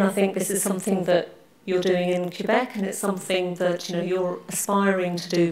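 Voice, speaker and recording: A woman from Wales giving a conference presentation, speaking in a measured way with frequent pauses. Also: fairly low pitch, a little mellow. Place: large conference hall. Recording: echoey hall.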